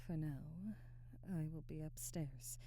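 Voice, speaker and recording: A woman playing a haughty, commanding aristocratic vampire in an ASMR audio roleplay, speaking formally with imperious, deliberate diction. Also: low voice, warm tone, dry recording. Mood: exasperated, concerned.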